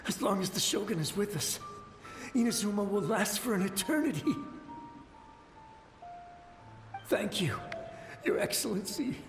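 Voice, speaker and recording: A desperate ghost soldier, echoing. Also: male voice, high voice, sing-song. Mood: grateful. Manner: desperate, pleading, halting. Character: shell-shocked ghostly soldier, desperate, devoted. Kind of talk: video game dialogue. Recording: echoey, reverberant, faint music.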